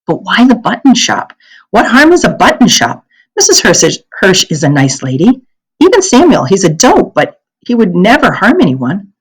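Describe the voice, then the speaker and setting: A woman's voice: medium pitch, wildly swooping pitch, normal speed, slightly rough, pitched above the performer's own voice, low-quality recording. A woman reading a children's novel aloud, voicing an eager, indignant ten-year-old schoolgirl with an earnest, questioning way of talking. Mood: indignant, puzzled.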